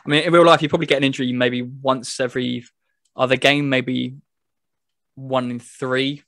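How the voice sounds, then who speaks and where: A man's voice: mid-range voice, flat delivery, normal speed, fairly bright tone, no room sound, clean studio sound. A man hosting a casual football game review, talking in a loose, rambling, hesitant way. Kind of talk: casual chat.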